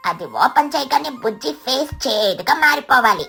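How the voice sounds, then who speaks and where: A woman's voice: sits high, expressive, normal speed, vocal fry, bright, forceful tone, breathy edge, faint music behind, full character voice. A woman voicing a greedy, chubby young boy parrot in a children's cartoon story, indignant and boastful. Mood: scheming.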